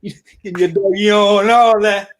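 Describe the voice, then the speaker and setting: A man co-hosting a relationship talk show, a coach who debates his co-host and reads out viewer comments in an animated way. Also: sits high, moderate pace.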